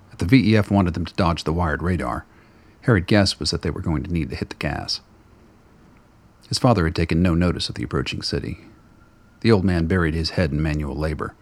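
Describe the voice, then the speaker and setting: A man narrates a radio drama in a low, husky voice. His delivery is measured and literary, like scripted fiction read aloud.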